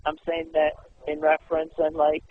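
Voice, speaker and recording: A man talking casually on the phone in a halting, repetitive way. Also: on the high side, monotone, slight background noise. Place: telephone call. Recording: tinny narrowband phone line.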